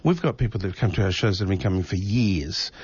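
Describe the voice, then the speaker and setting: A man's voice: low-pitched, fast talker, darkish tone, breathy edge, no echo. A man in his fifties, born in Italy, a singer in a vocal duo, talking in a casual chat with many restarts. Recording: dull, dry studio sound.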